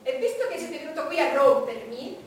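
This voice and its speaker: A woman's voice: high voice, lively intonation, a little fast. An actress playing an imperious, scornful, vulgar-tongued Queen of England in a theatrical stage monologue, with a commanding delivery. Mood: imperious fury. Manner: theatrical, commanding, swinging from hushed menace to loud outbursts. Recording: echoey, distant, noisy stage recording.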